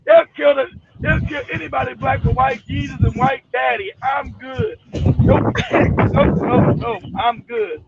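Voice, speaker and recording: A man hosting a casual chat, telling stories in a profane, animated way. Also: very high voice, unhurried, lo-fi sound, very noisy. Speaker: show host.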